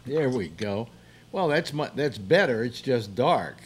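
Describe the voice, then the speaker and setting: An older man hosting a casual call-in chat, halting and teasing, often repeating himself. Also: medium pitch, big pitch swings, breathy edge. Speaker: talk show host. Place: home room with bookshelves, indoors.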